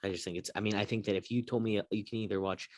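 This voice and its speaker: Male sports co-host, very low voice, close dry sound, informal and blunt, rambles through opinions. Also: fairly even intonation, racing, a little mellow, dry recording. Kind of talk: casual opinionated sports chat.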